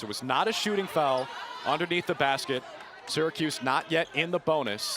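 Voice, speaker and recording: A man in his early twenties working as a sportscaster, delivering play-by-play commentary and sports reports. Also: on the high side. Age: early 20s. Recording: clear background noise from the venue.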